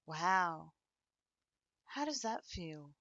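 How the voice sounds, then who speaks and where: Casting: woman to play a bitter young ex-girlfriend for ASMR roleplay; sardonic, playfully menacing, unhurried delivery, close dry sound. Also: lowish voice, animated, pushed and bright, dry recording, highs cut off, full character voice. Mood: incredulous, mocking. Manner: teasing, sardonic, with dry chuckles and pointed pauses. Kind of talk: ASMR roleplay monologue to the listener.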